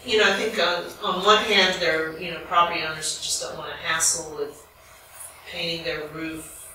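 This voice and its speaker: An American woman in the audience asking a long, spontaneous question in a halting, deliberate way. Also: low-pitched, fairly even intonation, bright, forceful tone. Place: echoey lecture room. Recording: very echoey room, distant mic, faint noise.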